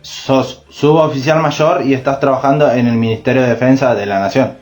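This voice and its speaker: A man's voice: mid-range voice, steady tone, moderate pace, darkish tone. A man with an Argentine accent interviews someone conversationally, asking questions and adding short acknowledgements. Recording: phone interview with music.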